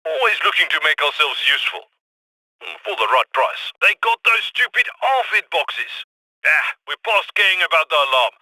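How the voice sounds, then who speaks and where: An actor playing a scrappy male heist handler in a video game, giving brisk, urgent instructions over radio comms. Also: fairly high pitch, very animated, bright, forceful tone, no echo, exaggerated voice, low-quality recording. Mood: businesslike.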